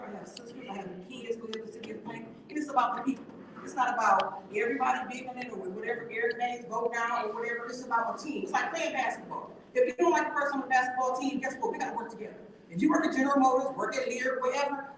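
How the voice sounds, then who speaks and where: A woman giving public comment at a council meeting, forceful and swearing, her voice rising to shouts. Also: fairly high pitch. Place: council chamber. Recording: echoey distant hall recording, noisy.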